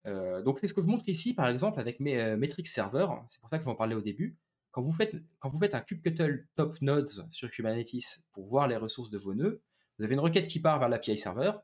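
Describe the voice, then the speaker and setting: A man's voice: medium pitch, very animated, a little fast, darkish tone. A man gives an informal technical presentation over a video call on a headset, explaining step by step with frequent hesitations. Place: small room indoors, video call.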